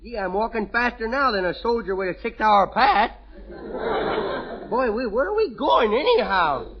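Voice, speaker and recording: Bratty little kid, baby talk, 1940s radio comedy, dull lo-fi sound. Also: male voice, extremely high pitch, animated, normal speed, some chatter behind. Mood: silly. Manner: childish ungrammatical baby talk. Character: mischievous little boy Junior, bratty and cheeky. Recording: noisy lo-fi vintage radio broadcast.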